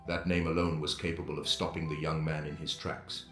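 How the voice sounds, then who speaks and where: Guy narrating a dramatic audio story, really really deep voice, echoey. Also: little pitch movement, brisk. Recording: echoey, roomy sound with music.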